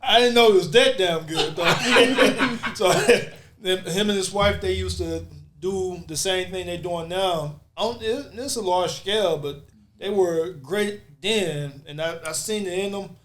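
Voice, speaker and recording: American man with highish voice, noisy recording. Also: a little slow, a bit bright. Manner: slangy, informal. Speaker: host. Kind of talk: casual chat.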